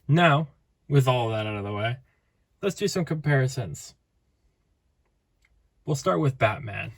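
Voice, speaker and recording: A man's voice: medium pitch, animated, a little slow, creaky. A man casually reviewing collectible figures, rambling and playful, tired with his voice starting to give out. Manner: rambling, playful, self-interrupting. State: tired, voice fading.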